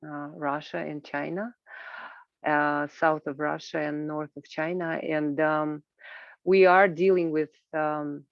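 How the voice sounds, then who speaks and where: A woman panellist with a gravelly, creaky edge gives an informal talk over a video call. She speaks haltingly, with frequent filled pauses. The sound is dull and band-limited, close and dry. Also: deep voice, level tone, no echo. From Kazakhstan. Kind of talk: informal spoken presentation at an online roundtable. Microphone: video call microphone.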